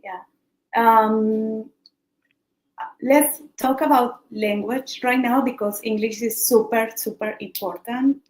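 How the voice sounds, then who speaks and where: A woman's voice: a little high, steady tone, slow pace, a little mellow. A woman hosting an online interview, asking questions in an encouraging, chatty way. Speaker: interview host. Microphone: video call. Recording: echoey room on video call.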